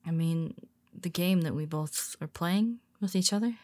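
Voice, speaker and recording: Tired superhero woman, voiced by a woman, wry and teasing, unhurried delivery, dry close sound. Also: lowish voice, no room sound, close to an everyday voice. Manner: teasing, soft-spoken, slips into whispers. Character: weary teasing female superhero, nemesis. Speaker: audio roleplay performer. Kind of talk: intimate audio roleplay.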